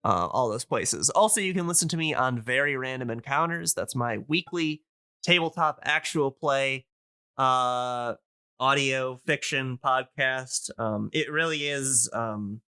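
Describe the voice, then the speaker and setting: A man with a forward, bright voice and a smooth, clean tone, recorded close and dry. He talks as an enthusiastic podcast guest, info-dumping casually and feeling his way through each point in halting runs. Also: mid-range voice, no room sound.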